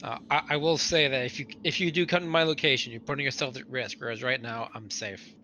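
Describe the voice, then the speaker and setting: A man's voice: mid-range voice, fairly even intonation, fast, bright, forceful tone, a little hiss or hum. A man speaking casually in character as a player in a tabletop roleplaying game.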